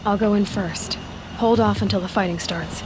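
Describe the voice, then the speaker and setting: A woman's voice: medium pitch, fairly even intonation, moderate pace, noisy background, close to an everyday voice. A woman playing a capable, observant young huntress in a video game, matter-of-fact and decisive. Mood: focused, determined.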